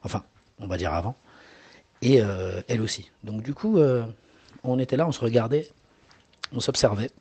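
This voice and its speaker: A man's voice: medium pitch, normal speed, pauses often, warm tone, slightly rough, no echo. A man in his forties, a singer whose first language is Arabic, answering interview questions hesitantly with many fillers and repeated words. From Morocco.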